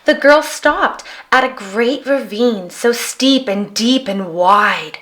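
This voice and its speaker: A woman reading a children's picture book aloud as an expressive storyteller. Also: a little high, slow. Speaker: storybook reader.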